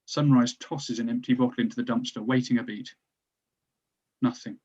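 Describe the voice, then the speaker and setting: A man's voice: medium pitch, fairly even intonation, moderate pace, mellow, husky, dull audio. A man reading stage directions as scripted narration for a screenplay table read.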